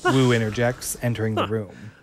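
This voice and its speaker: A man reading stage directions aloud as narrator in an even, descriptive way. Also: fairly low pitch, normal speed, slight background noise. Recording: loud music underneath.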